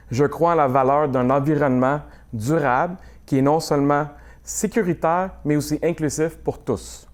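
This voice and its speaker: A man in his forties delivering a scripted campaign message, formal and measured, as if reading a prepared text. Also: on the high side, darkish tone. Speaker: mayoral candidate.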